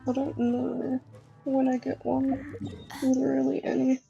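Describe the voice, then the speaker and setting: A woman's voice: high-pitched, completely flat delivery, a little slow, gravelly, dark tone, muffled recording. A woman chatting casually on a livestream, blunt, sweary and dryly self-mocking. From Australia. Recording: music playing behind the voice. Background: video game music.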